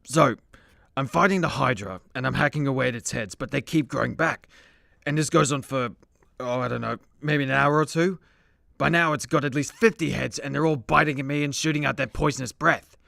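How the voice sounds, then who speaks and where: Man playing gravelly easygoing boozy superhero. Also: medium pitch, brisk, pushed and bright, no echo. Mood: boastful storytelling. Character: immortal male Greek demigod superhero, hard-drinking, easygoing, confiding. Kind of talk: superhero comedy radio play acting.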